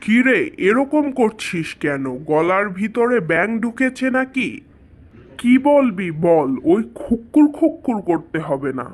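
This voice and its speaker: A woman's voice: medium pitch, big pitch swings, unhurried. A woman with a Bangladeshi accent voicing a grumbling, evasive cartoon father, brusque and teasing in comic dialogue. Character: grumbling, evasive cartoon father dodging his child's demands.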